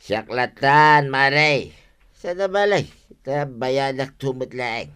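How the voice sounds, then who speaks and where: Village husband: hard-drinking, easygoing, Ilocano accent, medium pitch with lively swings, radio comedy. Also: male voice, slow, clear, resonant tone. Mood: casual, cajoling. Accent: Filipino, Ilocano. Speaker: radio drama actor.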